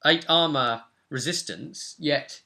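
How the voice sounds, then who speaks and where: A man's voice: a little high, slow, vocal fry, pushed and bright. A young man, the host and game master, casually recapping a tabletop role-playing session from a room at home. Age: young adult. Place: small room at home, indoors.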